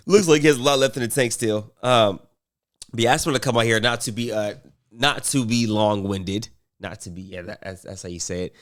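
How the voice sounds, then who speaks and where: A man's voice: mid-range voice, fairly bright tone. A man commentating casually on basketball, rambling with fillers and restarts while getting over a cold.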